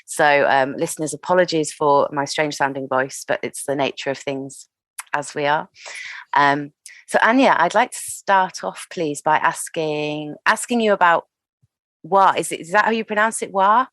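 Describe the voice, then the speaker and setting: Female podcast host, low voice, has a cold, dry close sound. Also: normal speed, dry recording. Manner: gentle, hesitant with fillers. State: full of cold. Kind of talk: interview.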